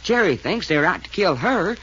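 Lively Southern cowboy-style sidekick. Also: male voice, high voice, normal speed. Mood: skeptical. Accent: American Southern. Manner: drawling, colloquial, grumbling. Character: folksy, skeptical Texan-style sidekick detective. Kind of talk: 1940s radio mystery acting. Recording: dull, noisy old radio recording.

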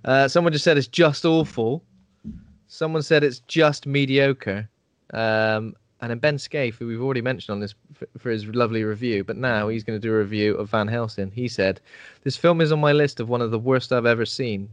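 A man's voice: medium pitch, steady tone, fairly quick, no echo. A man co-hosting a podcast, chatting casually with ums and trailing thoughts. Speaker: podcast co-host.

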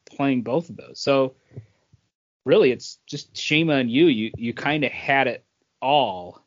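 A man's voice: medium pitch, takes it slowly. A man co-hosting a podcast, giving his opinions in casual conversation. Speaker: podcast co-host. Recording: dull, lo-fi podcast audio.

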